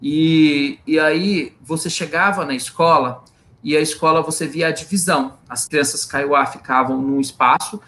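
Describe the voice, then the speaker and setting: A man's voice: fairly high pitch, steady tone, normal speed, bright, forceful tone. A man speaking as an invited guest on a live online panel, explaining at length with frequent restarts and hesitations. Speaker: guest researcher.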